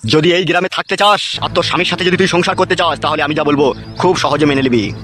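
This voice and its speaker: A man's voice: a little high, fast, fairly bright tone, faint music behind, close to an everyday voice. A man voicing a menacing, lecherous male village thug who taunts with sneering laughs. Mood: threatening. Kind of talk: amateur cartoon voice acting.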